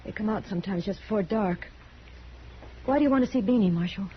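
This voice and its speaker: An actress playing a polite, uneasy young prairie farm wife with a hesitant manner, in 1950s radio western style. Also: female voice, mid-range voice, a little fast, natural voice. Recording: muffled, faintly noisy vintage radio recording.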